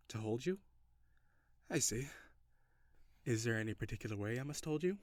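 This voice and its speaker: A man's voice: medium pitch, a little mellow, no echo, close to an everyday voice. A man playing a curious, reserved alien in an intimate audio roleplay, speaking in stiff, formal, precise phrasing. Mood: polite, curious. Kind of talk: intimate scripted audio roleplay addressed to the listener.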